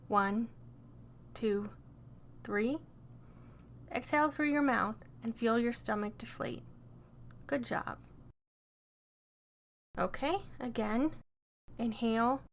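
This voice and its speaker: A woman narrating a scripted guided meditation in a gentle, soothing and encouraging way. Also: a little high.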